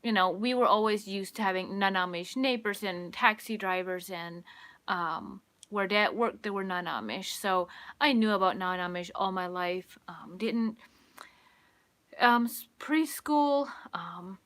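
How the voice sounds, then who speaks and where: Bright-voiced woman chatting with lots of ums. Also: mid-range voice. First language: Pennsylvania Dutch. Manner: unhurried, hesitant, many ums and restarts. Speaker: livestream host. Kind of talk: casual livestream chat. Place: home room with sunlit curtains.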